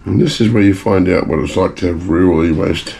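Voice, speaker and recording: A man with a hoarse, failing voice from a bad cough, casually talking viewers through his home refining work in a chatty, rambling way. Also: low-pitched, a little fast, warm tone. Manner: chatty, rambling, self-mocking asides. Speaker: hobbyist gold refiner and video maker. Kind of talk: casual talk-through of a lab process.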